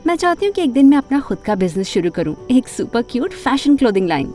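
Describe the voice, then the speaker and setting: A woman playing an ambitious, fashion-loving teenage girl who narrates her own animated story, dramatic and expressive, with English words mixed in. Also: on the high side, quick pace, soft background music. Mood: earnest.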